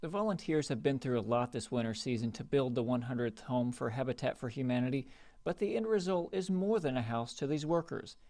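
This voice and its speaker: A man's voice: medium pitch, expressive, fairly quick, dark tone, no echo. A man reading scripted news reports as a television reporter.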